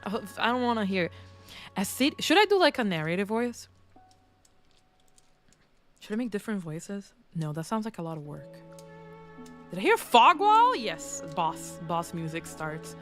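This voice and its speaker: A woman's voice: medium pitch, very animated, a little slow, long pauses, fairly bright tone. A woman streamer with a congested, throaty voice chatting playfully and reading game text aloud. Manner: playful and self-mocking, with frequent restarts. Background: game music.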